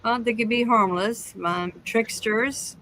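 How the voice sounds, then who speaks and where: A woman's voice: fairly high pitch, slow, a bit bright. A woman hosting a show, chatting casually as she interviews a guest. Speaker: show host. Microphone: online call.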